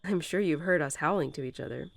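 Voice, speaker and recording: A woman voicing a gentle, nurturing mother wolf who talks softly and unhurriedly to her newborn pups in a bedtime roleplay audio. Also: low-pitched, vocal fry. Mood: fond, content. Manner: tender, unhurried, lulling storytelling.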